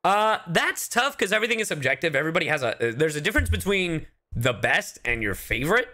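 A man's voice: on the high side, fast. A man chatting casually and playfully on a livestream as a streamer and voice actor. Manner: animated, playful, casual swearing. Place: indoor livestream with game music.

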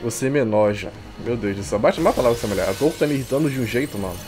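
A Brazilian man with a very animated delivery reads game text aloud and comments on it. His manner is irreverent and slangy, like a casual streamer, with music playing behind him. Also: mid-range voice, racing. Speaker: streamer playing and dubbing a visual novel.

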